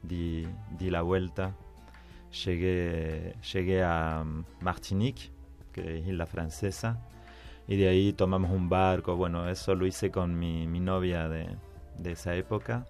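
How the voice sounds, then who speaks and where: Deep-voiced Argentine man telling his story. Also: level tone, unhurried, darkish tone, faint music behind. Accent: Argentine Spanish. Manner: conversational, with hesitations and repeated words. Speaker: musician guest. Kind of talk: radio interview, personal storytelling.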